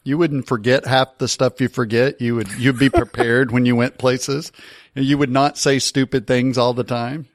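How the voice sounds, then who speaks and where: A man's voice: mid-range voice, fairly even intonation, normal speed, dry recording. Wry male podcast co-host. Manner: wry, joking banter. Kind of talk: casual chat.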